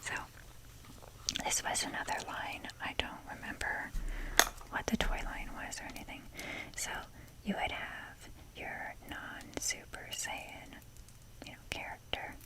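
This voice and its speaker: Whispering woman, slow and rambling. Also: rough recording. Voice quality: soft breathy whisper. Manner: rambling, hesitant, self-deprecating humour. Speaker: ASMR video creator showing a toy collection. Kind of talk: ASMR, casual rambling show-and-tell.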